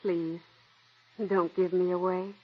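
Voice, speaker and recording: An actress in 1940s radio acting, playing an earnest young stage-struck secretary with a pleading manner, on a dull old radio broadcast. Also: female voice, on the low side, little pitch movement, slow. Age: young adult.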